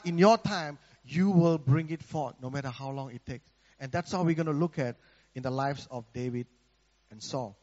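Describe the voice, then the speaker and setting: A man's voice: high voice, in a large hall, lo-fi sound. A man from Malaysia with a Malaysian accent, preaching a sermon in a conversational way, often asking the congregation for an amen. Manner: conversational, repeats words, asks the congregation for amen.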